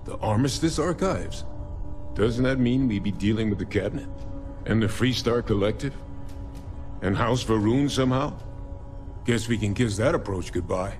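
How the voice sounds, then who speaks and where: An actor playing a blunt, wry military scientist in a sci-fi video game, plainspoken and clipped. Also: male voice, on the low side, moderate pace, long pauses, a little mellow, faint music behind, close to an everyday voice. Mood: skeptical. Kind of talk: video game dialogue acting.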